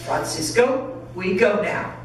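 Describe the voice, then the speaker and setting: A woman's voice: mid-range voice, expressive, takes it slowly, soft background music. A woman storyteller voicing a proud, anxious Mexican man who earnestly leads and urges his ox. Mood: calm urging. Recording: very echoey church, distant mic.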